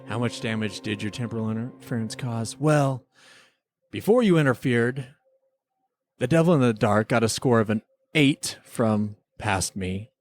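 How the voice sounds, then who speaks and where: A man's voice: medium pitch, animated, a little slow, dry recording, clean studio sound. A man hosting a podcast, delivering scripted lines in a mock-formal, announcing manner. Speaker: podcast host. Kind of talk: scripted comic courtroom-style podcast narration.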